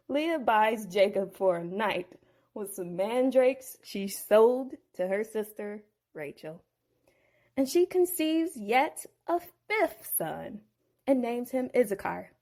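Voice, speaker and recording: A woman's voice: medium pitch, animated, slow pace, a little mellow. Playful woman retelling a bible story. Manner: playful, chatty asides.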